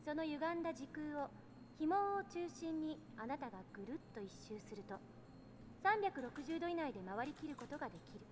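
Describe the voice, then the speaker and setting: A woman's voice: sits high, animated, very fast. A woman voice-acting a self-assured eighteen-year-old genius girl in a Japanese visual novel, lecturing in a composed, explanatory way over faint noise.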